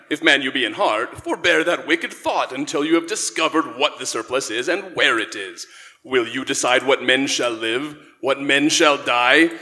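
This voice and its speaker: A man's voice: medium pitch, sharp tone. A man in a live radio play, playing a stern, righteous spirit who speaks in solemn, oratorical phrases. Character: stern, reproachful male Spirit of Christmas Present, grand and righteous. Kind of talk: live radio-play acting of a Victorian ghost story. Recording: live in a reverberant church hall.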